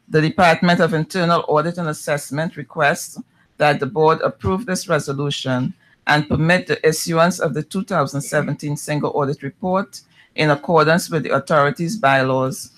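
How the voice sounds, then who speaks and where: A man presenting an audit resolution at a board meeting, reading formal text in a measured way. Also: on the high side, fairly bright tone.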